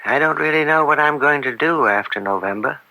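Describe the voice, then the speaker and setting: An actor playing an older judge in an old-time radio drama, upright and weary, speaking deliberately with a gravelly, dryly sardonic edge. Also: male voice, a little high, bright, forceful tone, no echo, poor audio. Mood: flustered, troubled. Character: upright, weary veteran judge and father, proud and stubborn.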